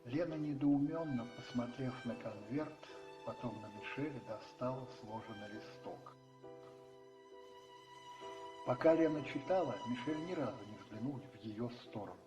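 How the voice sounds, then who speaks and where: A man narrating a radio play dramatically from a script, in measured phrases with pauses. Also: medium pitch. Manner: measured, pausing between phrases. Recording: roomy echo, distant, faint music behind.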